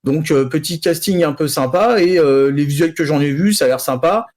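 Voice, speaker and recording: A man's voice: on the high side, moderate pace, darkish tone. A man giving film news as a podcast panelist, casual and colloquial, often repeating himself. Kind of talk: casual chat.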